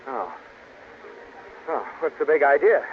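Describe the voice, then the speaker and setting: An actor playing an exasperated, wry American husband who grumbles sarcastically in an old-time radio comedy. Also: male voice, on the high side, expressive, measured pace, phone-quality sound. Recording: thin, noisy old radio recording.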